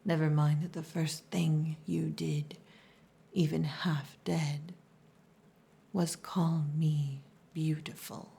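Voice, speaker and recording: Casting: actress for a female orc girlfriend, ASMR roleplay. Low, breathy whisper, softly commanding, possessive yet tender. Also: little pitch movement, heavily acted. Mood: vulnerable, tender. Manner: slow, hushed, softly commanding.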